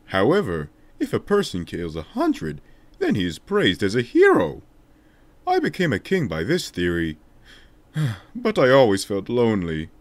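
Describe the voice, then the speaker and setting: A man's voice: medium pitch, huge pitch swings, measured pace, dry recording. A man voicing a solemn, remorseful king in a declamatory, dramatic way for a video game fan dub. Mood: solemn, reflective.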